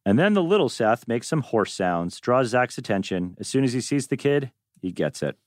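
A man reads out script directions as narrator. His medium-pitched voice moves up and down with lots of expression, and the sound is dry and close. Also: very animated, moderate pace, dry recording. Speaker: narrator reading stage directions. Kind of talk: animated script reading.

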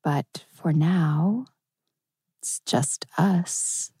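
Low, unhurried, creaky-voiced woman, acted out. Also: mellow, dry recording, studio quality, exaggerated voice. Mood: wistful, intimate. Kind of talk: live table read of TV drama script.

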